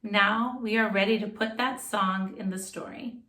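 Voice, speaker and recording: A woman's voice: medium pitch, steady tone. A woman music teacher who leads children through a song in call and response, then reads a story aloud. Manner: slow, gentle call-and-response singing with a ukulele. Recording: roomy echo with loud ukulele.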